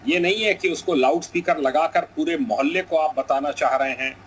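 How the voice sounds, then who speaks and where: A man's voice: fairly high pitch, normal speed, low-quality recording. Man giving his opinion over background noise. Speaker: guest commentator. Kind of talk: live news opinion, measured appeal.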